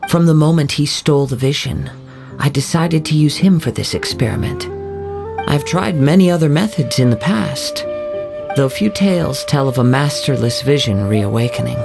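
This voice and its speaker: An actor playing a calm, reflective young samurai in a game, measured and soft-spoken. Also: male voice, fairly high pitch, gravelly. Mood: explaining. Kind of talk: game voice acting. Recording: game audio over music.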